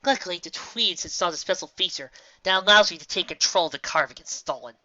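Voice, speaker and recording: A woman's voice: lowish voice, quick pace, sharp tone, voice pushed up. Woman playing confident, wry teen heroine. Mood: assured. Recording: dull lo-fi recording.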